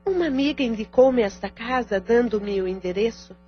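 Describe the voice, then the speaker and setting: A woman's voice: on the high side, lively intonation, moderate pace, lightly acted. A woman playing a practical, supportive adult sister in an audio drama, polite, formal and direct. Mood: composed, polite. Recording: dull lo-fi audio with music underneath.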